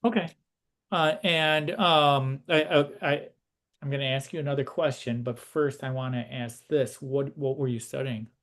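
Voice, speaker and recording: A man conducting a casual interview, putting his questions in a halting, tentative way. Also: medium pitch, fairly even intonation, fairly bright tone.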